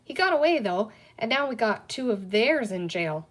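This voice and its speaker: A woman's voice: a little high, big pitch swings, normal speed. Woman doing little pretend outlaw, storybook character, folksy slang, clipped phrases, conspiratorial, playful. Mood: dark, secretive.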